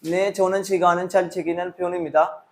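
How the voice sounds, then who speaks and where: A man's voice: high voice, level tone, normal speed, darkish tone, slight background noise. A man in his early twenties from Pakistan, a learner with a Pakistani accent, giving rehearsed formal interview answers. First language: not Korean, a learner. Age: early 20s. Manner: recited, formal. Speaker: student practising for a work-visa interview.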